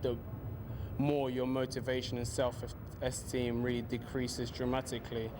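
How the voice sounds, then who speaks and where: A young man giving a halting, unscripted interview answer. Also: mid-range voice, flat delivery. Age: young adult. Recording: noisy outdoor interview with traffic.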